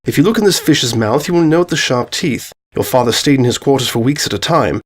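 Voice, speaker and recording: A man's voice: medium pitch, animated, a little fast, breathy edge. Guy doing a formal, self-assured detective from an audio story, declaiming his deductions, kinda theatrical and pensive. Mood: confident.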